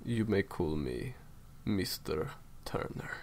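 A man acts out a menacing gentleman villain in a roleplay drama. His voice is low, crackling with fry and sweeping in intonation as he delivers courteous, formal threats. There is faint noise behind him. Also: sing-song, heavily acted. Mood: smug, courteous. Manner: formal, unhurried, theatrically polite threats. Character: menacing, courteous male villain, cold captor. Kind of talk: roleplay audio drama monologue.

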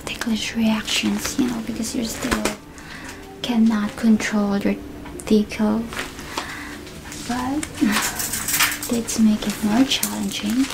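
A young woman giving commanding ASMR roleplay instructions, soft-spoken and often slipping into whispers. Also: a little high, gravelly, noisy background. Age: young adult. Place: small indoor room.

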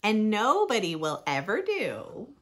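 A woman in her thirties reading aloud expressively for young children, with playful emphasis and deliberate phrasing. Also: medium pitch, huge pitch swings, a bit bright. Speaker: host of a children's read-aloud channel. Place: small furnished room indoors.

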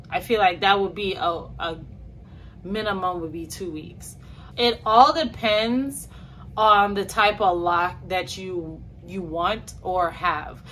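A young woman from New York talks straight to her camera in a small bedroom, relaxed and slangy. Her delivery is slow and drawn out, with a bright, forward tone. A faint hiss of room noise sits under her voice. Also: medium pitch, low-quality recording. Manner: chatty, slangy, lively greetings and playful asides. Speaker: hair vlogger. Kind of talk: casual chat to camera.

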